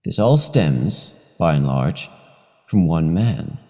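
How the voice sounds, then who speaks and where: An actor playing a stern, imperious leader of superheroes in a radio play, commanding and ominous. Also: male voice, extremely low pitch, big pitch swings, slow pace, darkish tone, exaggerated voice. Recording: long hall reverb, faint crowd.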